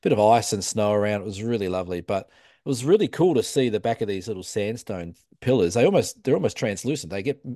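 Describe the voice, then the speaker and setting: Casting: low Australian male co-host, relaxed and joking, close dry mic. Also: fast talker, no echo. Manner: easygoing, joking. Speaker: podcast co-host. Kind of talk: casual chat.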